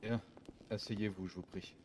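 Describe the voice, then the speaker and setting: A man playing a courteous private detective in online roleplay, explaining things formally and deliberately, with halting pauses. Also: on the low side, steady tone, over a noisy crowd, natural voice. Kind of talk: improvised French online roleplay. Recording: background music under the voice.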